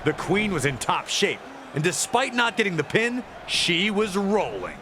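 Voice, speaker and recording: A man's voice: sits high, big pitch swings, unhurried. A man giving emphatic, dramatic, scripted narration of wrestling matches as a commentator. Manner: emphatic, dramatic storytelling delivery. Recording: loud crowd noise behind the voice.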